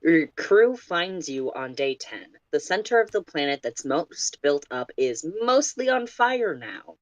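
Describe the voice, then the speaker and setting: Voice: medium pitch, very animated, measured pace, dry recording, muffled recording. A narrator reads fiction aloud with expression. The voice is mid-range and recorded very dry, with little room around it. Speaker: story reader.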